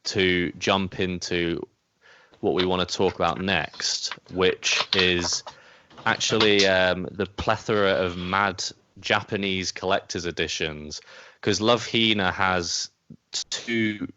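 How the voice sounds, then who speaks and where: A man co-hosting a casual gaming podcast, smooth and clear, chatting hesitantly as he searches for words. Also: low-pitched, fairly even intonation, slow pace, sharp tone. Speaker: podcast co-host. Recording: slightly dull, dry podcast audio.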